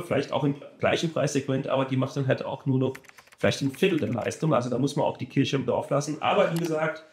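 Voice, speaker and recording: A man's voice: mid-range voice, darkish tone, echoey room. A man presenting a car audio amplifier in a casual review, talking with enthusiasm and repeating words for emphasis.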